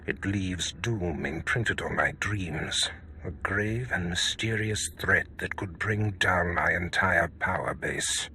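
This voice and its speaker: An actor playing a paranoid, commanding Sith lord in a video game, speaking gravely and formally. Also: male voice, low voice, takes it slowly, sharp tone, exaggerated voice. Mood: brooding, ominous. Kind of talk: dramatic video game acting.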